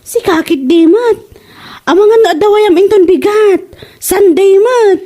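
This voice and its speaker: A woman in her twenties playing a young mother and daughter who is cheerful and quick to protest, in a comedic audio drama. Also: extremely high pitch. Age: 20s. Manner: bright and exclaiming, quick to protest.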